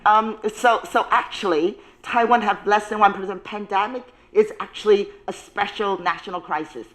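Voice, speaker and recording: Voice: medium pitch, steady tone, moderate pace, fairly bright tone, poor audio. Panel expert, urgent, repeats points, non-native English, mid-range voice. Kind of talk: roundtable panel discussion.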